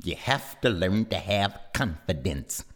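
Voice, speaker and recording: A man voicing a bossy, teasing big sister hawk who talks in a low drawl. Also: animated, unhurried, in a large hall.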